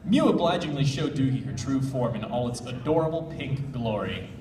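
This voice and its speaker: A man reading aloud and bantering in a theatrical, excitable way at a live comic panel. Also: medium pitch, moderate pace, a little hiss or hum. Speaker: panelist and reader. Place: convention panel room. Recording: echoey panel room, mic at distance.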